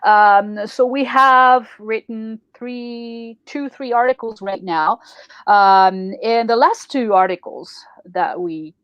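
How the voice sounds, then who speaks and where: A woman's voice: on the high side, takes it slowly, smooth, no echo. Woman, native French speaker, dry close sound, dull lo-fi call audio, casual explainer with fillers. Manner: explanatory, many fillers and "so on and so forth". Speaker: podcast co-host. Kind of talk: casual advice talk.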